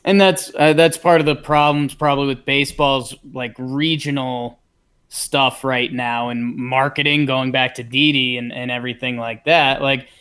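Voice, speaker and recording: A man's voice: mid-range voice, steady tone, moderate pace, fairly bright tone, clear, resonant tone. A man with an American accent co-hosting a podcast in casual sports chat. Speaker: podcast host.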